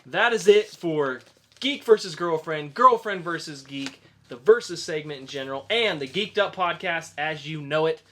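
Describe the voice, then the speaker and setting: A man's voice: fairly high pitch, animated, moderate pace, fairly bright tone. A man co-hosting a podcast in a casual, chatty style. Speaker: podcast co-host. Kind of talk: casual chat with a quiz segment.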